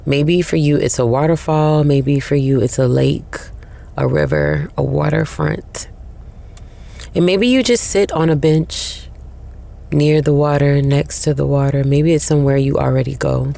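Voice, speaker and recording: A woman guides a meditation in short, unhurried phrases with long pauses. Her voice is dark and relaxed, and it crackles with heavy vocal fry. The delivery is soothing throughout. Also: low-pitched.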